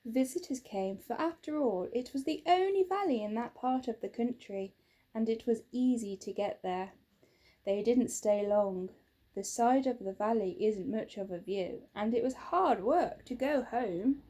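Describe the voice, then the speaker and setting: A woman reads a children's story at a slow, measured pace. Her voice is fairly high and mellow, with expressive phrasing. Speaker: storybook reader. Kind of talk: scripted children's story narration.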